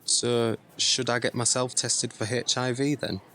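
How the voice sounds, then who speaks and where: A young man, the nephew in an audio drama, with a mid-pitched voice. He sounds curious and sympathetic, asking questions with gentle concern. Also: little pitch movement, unhurried. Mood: hesitant, questioning. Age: young adult. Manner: inquisitive, sympathetic. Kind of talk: scripted audio drama dialogue.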